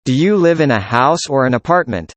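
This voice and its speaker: A man reading a student's part in a scripted classroom conversation, with an even, measured delivery. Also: medium pitch, dry recording. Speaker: student in a dialogue.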